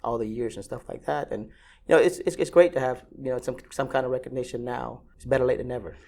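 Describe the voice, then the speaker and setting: A man's voice: medium pitch, steady tone, quick pace, gravelly, a little mellow. A Vietnam-born ramp agent in his thirties, answering interview questions casually with many fillers and restarts.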